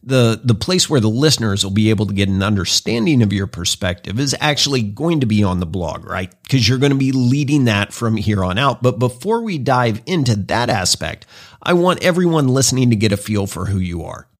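A man's voice: fairly low pitch, animated, a little fast. A man hosting a podcast, introducing and interviewing a guest in an upbeat, polished presenter manner. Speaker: podcast host.

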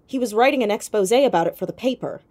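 A woman playing a sharp, persistent fourteen-year-old girl detective in an audio drama, questioning directly. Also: a little high, expressive, quick pace. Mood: probing, assertive. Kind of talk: audio drama acting, naturalistic.

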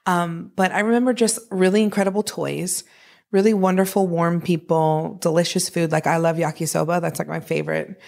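Low-pitched woman with a creaky voice. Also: steady tone, a little fast, a little mellow. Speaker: interview guest, actress. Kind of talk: casual conversational interview, reminiscing.

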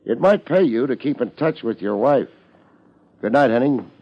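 An actor playing a laid-back, wisecracking private detective in a 1950s radio drama, with a wry, deadpan manner. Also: male voice, medium pitch, moderate pace, background noise, lightly acted. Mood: dry, firm. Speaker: lead actor and narrator. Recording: muffled old radio recording with music.

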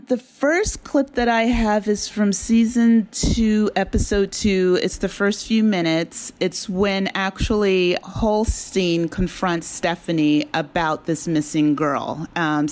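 A woman with a fairly low voice talks as a guest writer in an interview. She explains her story in a conversational way, thinking aloud and slipping in asides. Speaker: audio drama writer and creator, guest.

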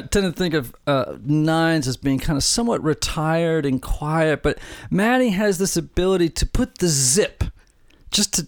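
A man's voice: on the high side, gravelly, dry recording, clean studio sound. A middle-aged man hosting a casual family podcast, upbeat and joking, rambling a little with fillers. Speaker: podcast host.

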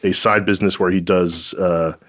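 A comedy writer chatting casually on a podcast, rambling and laughing often between phrases. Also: male voice, low-pitched, level tone, moderate pace, a bit bright. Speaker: comedy writer and performer guest.

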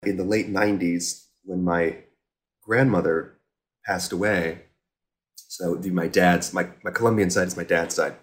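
A man's voice: low voice, fairly even intonation, moderate pace. An American man from Indiana, a podcast guest, telling personal stories casually and reflectively, often interrupting himself.

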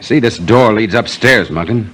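Quietly authoritative American police inspector. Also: male voice, lowish voice, normal speed, poor audio. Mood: calm, probing. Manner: understated, probing, terse. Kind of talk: 1950s radio acting.

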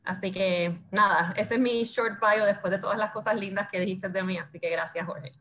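A woman's voice: mid-range voice, steady tone, moderate pace, fairly bright tone, a little hiss or hum. A woman chatting casually as an interview guest. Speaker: interview guest, lawyer and mother. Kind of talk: casual chat.

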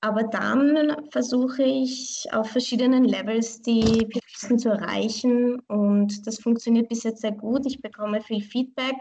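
A young woman with a slightly high voice and a gravelly edge of vocal fry. She answers interview questions thoughtfully and earnestly, a pianist and teacher who often restarts phrases as she searches for words. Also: steady tone, unhurried, few pauses, dark tone, slight background noise, low-quality recording. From Vienna, Austria. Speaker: pianist and online piano teacher.

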